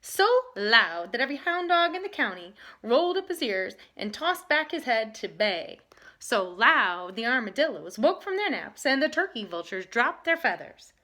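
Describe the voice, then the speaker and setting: A woman with a bright, slightly high voice speaks as a teacher at storytime. She is expressive and emphatic, and her pitch moves around a lot as she explains and reads aloud. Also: normal speed. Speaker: teacher reading a picture book aloud. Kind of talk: lesson talk and animated storybook reading.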